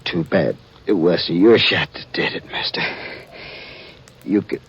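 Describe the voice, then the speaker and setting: A man playing a wounded, boastful outlaw in a 1950s radio western, breathy and halting, with a rural American drawl. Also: low voice, fairly bright tone, exaggerated voice. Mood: boastful, weary. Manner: halting, laboured, folksy drawl. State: weak, gravely wounded, acted. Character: dying, boastful male outlaw, stubborn and desperate. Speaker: supporting actor in a radio western. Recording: dull vintage radio recording, music behind.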